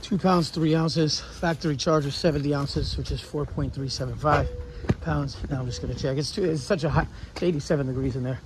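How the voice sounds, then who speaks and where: A man's voice: on the high side, fairly quick, hardly any pauses. A man working as a repair technician, narrating his job under his breath in a matter-of-fact way, often half-whispering. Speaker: HVAC technician. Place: outdoors at a house and in a hot attic. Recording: loud outdoor background noise.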